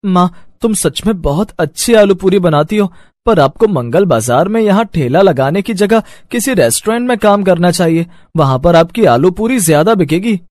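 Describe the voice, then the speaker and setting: A man's voice: high voice, expressive, moderate pace, a little mellow, no room sound, clean studio sound. A man voicing an innocent, earnest young schoolboy talking to his mother in a story.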